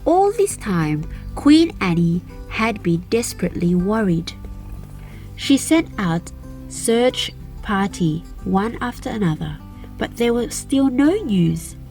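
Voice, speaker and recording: A woman narrating a children's fairy tale aloud as a storyteller, in an expressive, deliberate manner. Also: mid-range voice, faint music behind. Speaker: storyteller and channel host.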